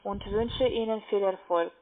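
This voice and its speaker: A woman talks on a narrow phone line as a call-centre agent. Her delivery is very slow and halting, as she searches for words in a language not her own. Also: medium pitch, fairly even intonation, over a noisy crowd. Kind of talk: phone conversation.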